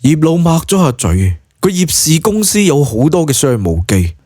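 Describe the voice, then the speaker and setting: A man's voice: fairly high pitch, big pitch swings, normal speed, darkish tone, no room sound, clean studio sound. A man with a Cantonese accent narrating a scripted story in a dramatic audiobook style.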